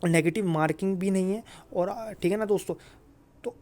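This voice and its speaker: A man's voice: high voice, steady tone, a little fast, darkish tone. A man talking informally to students as a video host, repeating points emphatically and adding frequent check-in tags. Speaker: exam guidance video host. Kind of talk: informal advice talk to students.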